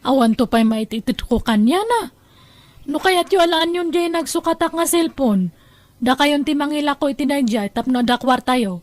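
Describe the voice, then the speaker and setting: A woman's voice: high-pitched, lively intonation, moderate pace, darkish tone. A woman with an Ilocano Filipino accent playing a carefree, self-indulgent young working daughter in an audio drama, offhand and dismissive. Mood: defensive.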